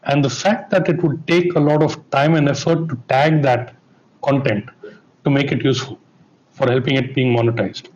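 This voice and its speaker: A man's voice: medium pitch, fairly even intonation, moderate pace, darkish tone, dull audio. Man on a tech panel. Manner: explanatory, asks listeners to bear with him. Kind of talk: conference panel discussion.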